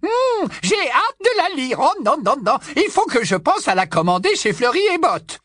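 Brief: shrill, cackling ancient aunt, swooping wildly in a dry, close booth. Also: male voice, wildly swooping pitch, normal speed, a bit bright, no echo, full character voice. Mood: gossipy. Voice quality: shrill, high squawk, endlessly repeating "non, non, non". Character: cackling, nosy, ancient aunt, 107 years old. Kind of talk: storybook reading.

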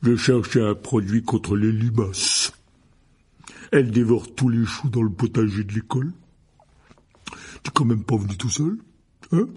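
Gruff storybook giant played by a male narrator: dark, very husky, protective, grumbling and blunt. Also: fairly low pitch, normal speed, long pauses. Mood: gruff, concerned.

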